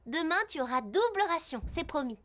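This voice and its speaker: A woman voicing a caring, expressive girl who looks after horses in a French cartoon dub. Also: sits high, fairly quick, very noisy. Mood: tender, cheerful.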